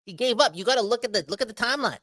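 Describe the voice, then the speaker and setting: A man, rambling through a casual livestream rant full of slang. His voice is bright and forward, and he talks straight to his viewers as he reads their comments aloud. The close mic is dry. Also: very high voice, animated, rapid-fire, no echo. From Canada. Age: 30s. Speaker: podcast host reading live chat comments.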